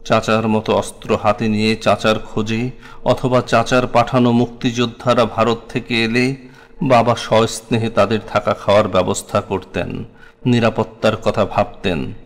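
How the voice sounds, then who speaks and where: A man with a Bangladeshi accent reciting a scripted memoir in a solemn, dramatic, literary way. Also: lowish voice. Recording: long hall-like reverb.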